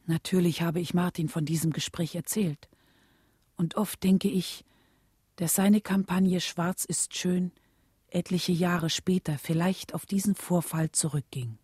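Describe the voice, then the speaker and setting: Reflective widow, radio drama narration, husky breathy voice, lowish pitch, soft memories. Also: female voice, fairly even intonation, measured pace, no echo, clean studio sound. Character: reflective widow of a civil rights leader, looking back.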